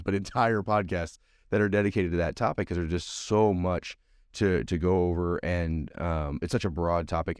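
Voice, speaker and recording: A man hosting a podcast, hoarse and gravelly from allergies, chatty and upbeat with a rambling flow. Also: low-pitched, darkish tone, dry recording, clean studio sound. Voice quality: gravelly, scratchy. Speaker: podcast host.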